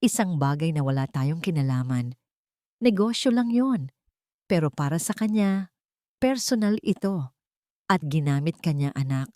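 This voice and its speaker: A haughty rich mother in a romance drama, put on by a woman narrator, speaking with poisoned sweetness. Her voice is low and dryly recorded, condescending and falsely kind. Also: animated, measured pace, pauses often, mellow, no echo, clean studio sound. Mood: mock pity. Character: venomous rich matriarch, sweetly spiteful, mock-pitying.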